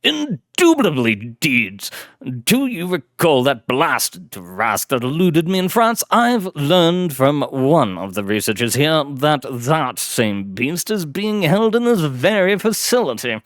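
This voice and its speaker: A man's voice: on the high side, very animated, slow pace, a bit bright, no echo, studio quality, voice pushed up, exaggerated voice. A man voicing an exuberant, aristocratic male sea slug explorer who talks in bombastic upper-class exclamations.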